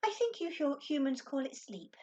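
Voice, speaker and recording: A woman's voice: high-pitched, sing-song, normal speed, darkish tone, lo-fi sound, pitched above the performer's own voice. A woman voicing a haughty little female alien in a storybook, prim, precise and dismissive. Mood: brisk.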